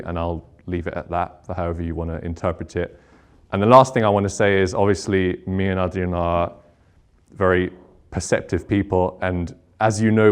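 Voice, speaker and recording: A man mentoring contestants, speaking earnestly and reassuringly to the group, repeating himself for emphasis. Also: deep voice. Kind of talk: speech to a group.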